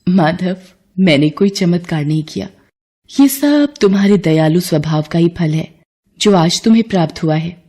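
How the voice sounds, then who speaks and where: A woman's voice: fairly low pitch, lively intonation, moderate pace, dark tone. A woman voicing a serene, kindly goddess who speaks formally in a devotional storybook tale. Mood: gracious, solemn. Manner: formal, solemn, kindly pronouncements. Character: benevolent goddess, serene and gracious, granting blessings.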